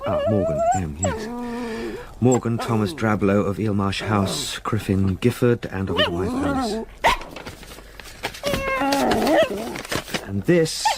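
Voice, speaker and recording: An actor playing an uneasy, educated solicitor in a gothic radio drama, with measured, articulate, old-fashioned speech. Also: male voice, lowish voice, very noisy. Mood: musing, absorbed. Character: uneasy, educated male solicitor recounting a haunting.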